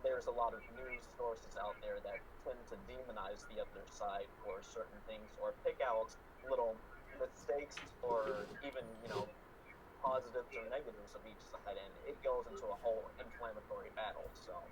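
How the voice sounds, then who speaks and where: A man's voice: fairly low pitch, fairly even intonation, moderate pace, background noise. A man hosting a podcast discussion, talking earnestly with many fillers. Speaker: podcast host. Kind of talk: casual discussion.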